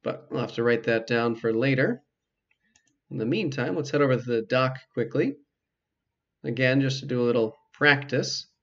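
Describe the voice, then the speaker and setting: A man giving casual, explanatory let's play commentary, with hesitant pauses as he goes. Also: medium pitch, a bit bright, lo-fi sound. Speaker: video game player and commentator.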